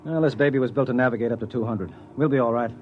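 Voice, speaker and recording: An actor playing a gruff, determined space explorer who narrates and gives orders in a decisive way, in 1950s radio drama style. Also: male voice, medium pitch, rapid-fire, noisy background, lightly acted. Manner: decisive, commanding. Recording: dull old radio recording, loud music.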